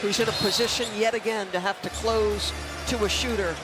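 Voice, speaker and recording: A man's voice: high voice, fairly even intonation, fairly quick. A man working as a color analyst on a live basketball broadcast, commenting on the plays. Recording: broadcast feed under loud music.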